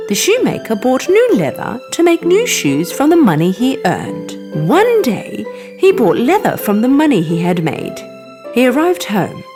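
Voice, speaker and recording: A woman narrates a children's fairy tale with a very lively, swooping delivery and a fairly high voice. Clear music plays behind her as she tells it expressively. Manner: expressive, animated storytelling. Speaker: storyteller. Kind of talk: scripted bedtime story narration for children.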